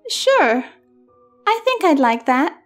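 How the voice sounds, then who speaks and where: Woman doing a sad pony girl baker, super high voice, kinda meek and gloomy, soft music behind. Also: very animated, takes it slowly, exaggerated voice. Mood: yielding. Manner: morose, subdued, eager to please. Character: sad young female pony baker, lost her cheer. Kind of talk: horror fan fiction reading.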